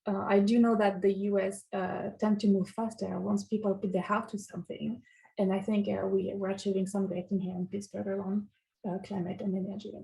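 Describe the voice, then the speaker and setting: A middle-aged woman from France with a French accent, introducing herself informally and haltingly in an online meeting. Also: mid-range voice, level tone, gravelly, dark tone, low-quality recording. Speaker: city program manager and presenter.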